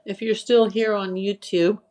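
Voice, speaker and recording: A woman hosting a live online auction stream, chatting casually, greeting viewers by name and listing items for sale. Her voice is getting tired and a little hoarse from hours of talking. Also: medium pitch, little pitch movement, a little slow, a little mellow. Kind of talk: casual live-selling chat.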